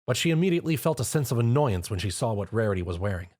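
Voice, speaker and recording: A man reads a fan fiction story aloud as narrator. His voice sits at an ordinary pitch but moves with lively swings. He tells the story expressively. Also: very fast.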